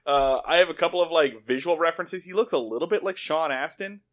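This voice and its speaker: A man's voice: on the high side, fast talker, a bit bright. A man co-hosting a podcast, giving a casual, comedic, conversational recap and repeating words for emphasis. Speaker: podcast co-host.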